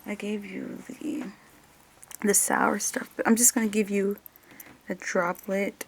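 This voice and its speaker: A woman's voice: medium pitch, flat delivery, unhurried, gravelly, slight background noise. A woman doing a one-on-one ASMR role-play as an examiner, hesitant and muttering to herself, drifting between normal voice and whispery murmur.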